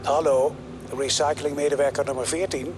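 An actor playing an impassive recycling dispatcher who gives formal, procedural orders in a radio drama. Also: male voice, fairly high pitch, level tone, moderate pace, noisy background, lightly acted. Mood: detached.

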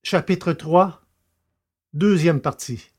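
A man with a somewhat high-pitched voice hosts a podcast and narrates audio fiction. He speaks in a scripted presenting style, as if reading from a prepared text. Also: drawn-out words, slightly rough.

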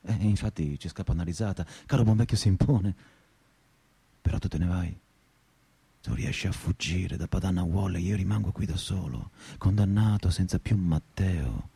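A man's voice: low voice, moderate pace, gravelly, warm tone, husky, exaggerated voice. An actor playing a brooding, melancholy man in a radio monologue, lyrical and wry, with colloquial turns. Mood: sad. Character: melancholy, brooding man stuck in traffic, wryly poetic. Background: music.

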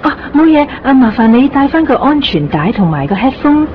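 A polite, patient flight attendant with a fairly high voice. Faint cabin noise runs behind her on a slightly dull broadcast track. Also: sing-song, brisk.

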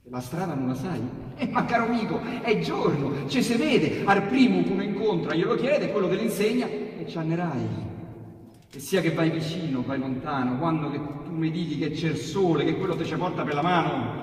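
A man with a Roman accent theatrically reciting dialect sonnets, swinging between hushed and loud passages. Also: a little high, animated, moderate pace. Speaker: poetry reciter. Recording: echoey hall, distant mic, piano.

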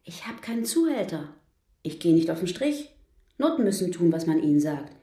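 An actress playing a self-assured female escort in a radio drama, talking low and breathy. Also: very animated, moderate pace, mellow. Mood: defiant. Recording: roomy studio reverb.

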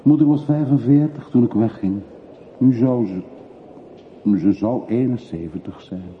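A man's voice: medium pitch, measured pace, natural voice. An actor playing a brooding middle-aged man back from long exile in a radio play, terse, evasive and reflective. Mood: guarded. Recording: muffled phone-like old radio recording, faint hiss.

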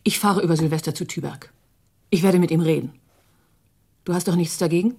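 An actress playing a sceptical, quietly determined woman in a radio drama, with a husky, breathy voice. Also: lowish voice, brisk. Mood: quiet, doubtful.

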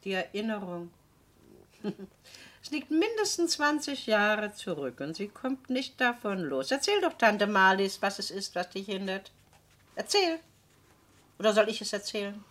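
A woman's voice: medium pitch, sing-song, measured pace, a bit bright. An actress playing a domineering, spiteful middle-aged woman in a radio drama, theatrical, scornful and insistent. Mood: needling. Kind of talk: German radio drama acting.

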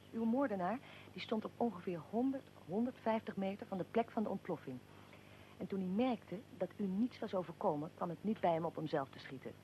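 An actress playing a precise, probing investigator in a radio drama, explaining events in a matter-of-fact way. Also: female voice, on the low side, a little mellow, a little hiss or hum, lightly acted, poor audio. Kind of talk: radio drama, interview with narrated account.

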